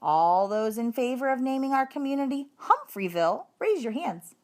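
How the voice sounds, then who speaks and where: Storybook schoolteacher, acted by a woman: patient but in charge, very lively intonation. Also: sits high, normal speed, pitched above the performer's own voice. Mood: inviting. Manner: firm, questioning classroom address. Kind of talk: children's storybook read-aloud.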